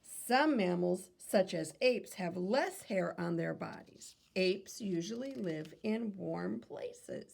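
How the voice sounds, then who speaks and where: Woman, teacherly children's read-aloud, lively intonation, heavy vocal fry, instructive and questioning. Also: fairly low pitch, slow, few pauses. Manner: instructive, posing questions to young listeners.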